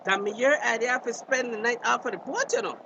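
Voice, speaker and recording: A man's voice: very high voice, sing-song, fairly quick, gravelly, muffled recording, full character voice. A man playing an impatient, teasing fisherman in a radio drama, with a blunt Belizean Creole accent. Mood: grumbling. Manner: blunt, bantering. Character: Luke, brash, impatient, teasing fisherman stuck in a storm shelter. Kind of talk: radio drama dialogue in dialect. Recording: drama with faint background noise.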